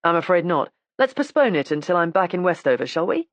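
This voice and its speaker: A woman reading the part of a courteous, dry-witted male police inspector who speaks calmly and politely. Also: fairly low pitch, a little fast, no room sound. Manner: calm, polite and wry.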